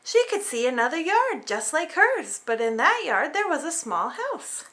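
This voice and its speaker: A woman reading a children's storybook aloud in an animated, dramatic way for small children. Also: high-pitched, moderate pace. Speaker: storybook reader. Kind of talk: expressive children's read-aloud narration.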